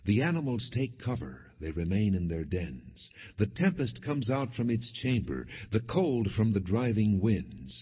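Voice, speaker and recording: A man narrating scripture in a solemn, measured, declamatory way, as in an audio Bible. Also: lowish voice, very animated, slightly rough. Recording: phone-like narrow band, long hall reverb.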